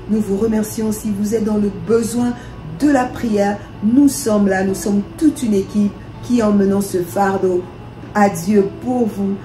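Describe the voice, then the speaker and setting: A woman from DR Congo, a minister, leading prayer with fervent, repetitive declarations. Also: medium pitch. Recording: echoey room with soft music.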